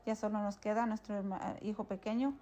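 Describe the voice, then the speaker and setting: A middle-aged woman from Mexico, a mother speaking about her children in steady, plain at home. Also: medium pitch, fast. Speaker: parent of students. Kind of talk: documentary interview. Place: living room at home.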